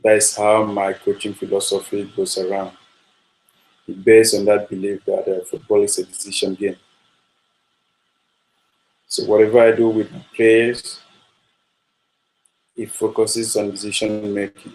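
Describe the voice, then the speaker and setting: A man speaks in a low voice that barely rises or falls. He is a football coach, talking at a steady, measured pace with frequent ums and uhs, and he keeps circling back to the same points. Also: rough recording. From Nigeria. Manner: measured, repetitive, with frequent fillers. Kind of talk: spoken coaching talk.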